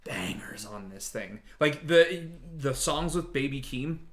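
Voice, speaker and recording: A man's voice: medium pitch, animated, a little slow. A man chatting casually on a podcast, slangy and peppered with swearing. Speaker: podcast co-host. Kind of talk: casual chat.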